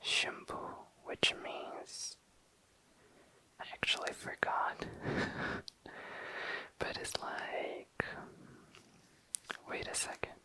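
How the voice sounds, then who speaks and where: Slow, crisp whispering ASMR creator. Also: poor audio. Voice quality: breathy unvoiced whisper. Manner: slow, lingering, repeats words softly. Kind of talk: whispered ASMR product show-and-tell.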